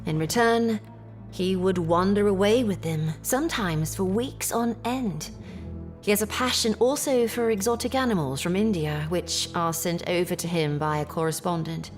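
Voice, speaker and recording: An actress playing a troubled young Victorian gentlewoman, telling her story formally in an audio drama. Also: on the low side, moderate pace, faint music behind, lightly acted. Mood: troubled, sighing. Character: distressed Victorian English gentlewoman recounting family misfortune. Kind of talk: period audio drama acting, narrative monologue.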